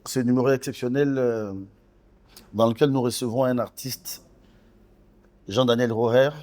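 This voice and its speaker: A man hosting an interview before an audience of students, speaking formally in a deliberate, halting way. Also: medium pitch, fairly even intonation, darkish tone. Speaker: host.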